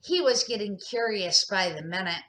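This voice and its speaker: A woman's voice: mid-range voice, lively intonation, moderate pace, fairly bright tone. An older woman, a grandmother, reading a children's storybook aloud as an amateur narrator, with expression and the odd small stumble.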